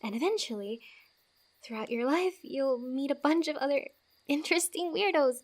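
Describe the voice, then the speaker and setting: A woman playing a friendly, teasing fantasy wanderer who gives comfort in a soft-spoken, giggly, rambling ASMR roleplay. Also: sits high, moderate pace, natural voice. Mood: tender, comforting.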